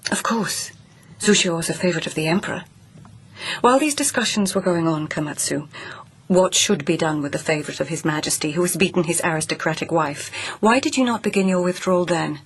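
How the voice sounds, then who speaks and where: An actress playing a shrewd court lady in a radio drama, who questions others with quiet, ironic persistence. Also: fairly low pitch, brisk, breathy edge. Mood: probing.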